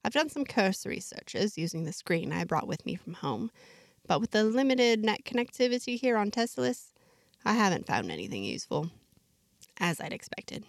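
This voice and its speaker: Woman storyteller, mid-range pitch, measured and reflective, dry close sound. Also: big pitch swings, creaky, no echo. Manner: measured, reflective diary-like delivery. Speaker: storyteller narrating in first person. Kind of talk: scripted fiction narration.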